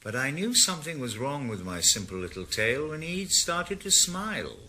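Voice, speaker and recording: A man's voice: medium pitch, sing-song, normal speed, pushed and bright, poor audio. An actor playing a wry, world-weary retired Welsh ex-policeman working as a private eye, narrating his own story. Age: older. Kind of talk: radio drama with first-person narration.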